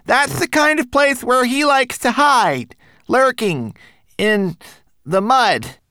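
A man reading aloud, voicing a tiny, timid male homunculus in a high, thin, trembling voice. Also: lively intonation, slow, bright, forceful tone, clear, resonant tone, no room sound, studio quality, exaggerated voice. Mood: fearful. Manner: trembling, nervous, fearful. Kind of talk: audiobook character voice.

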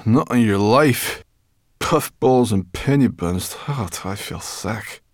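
A man's voice: medium pitch, animated, normal speed, dry recording, clean studio sound, voice pushed up, lightly acted. A man voicing a brash, bossy young female fairy creature who grumbles and exclaims, in a storybook reading. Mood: queasy grumbling.

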